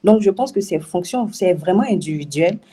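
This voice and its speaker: A woman's voice: lowish voice, lively intonation, normal speed, warm tone, breathy edge. A woman who works as a web writer answers interview questions in a hesitant way, repeating words and laughing often.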